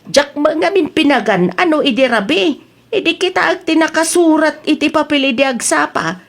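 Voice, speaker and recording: A woman playing a chatty, evasive village wife in an Ilocano comedy audio drama, exclaiming and quick to retort. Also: high-pitched, expressive, creaky. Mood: fretful.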